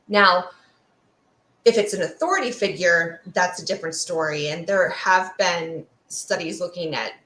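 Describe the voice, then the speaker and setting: A woman's voice: on the low side, sharp tone. A woman speaking as an expert interview guest, explaining things in a thoughtful, discursive, academic way. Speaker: guest expert, fear sociologist. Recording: roomy, echoey sound.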